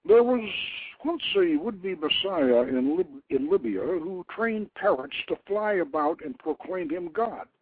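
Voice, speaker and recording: An older man hosting a radio show, reading aloud and commenting informally in a deliberate storyteller's manner. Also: high-pitched, animated, background noise. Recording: narrow phone-like line, dry.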